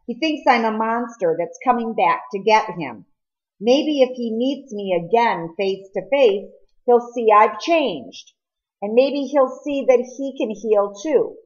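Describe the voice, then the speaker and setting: A woman's voice: on the high side, lively intonation, takes it slowly, pitched above the performer's own voice. Woman voicing an earnest pleading teenage boy, muffled recording. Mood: insistent, pleading. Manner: emphatic, emotional.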